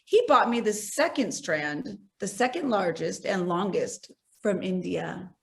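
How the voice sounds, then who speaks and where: An actress playing a self-possessed, wealthy society widow, poised and matter-of-fact in a stage comedy over a video call. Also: female voice, medium pitch, animated, unhurried, creaky.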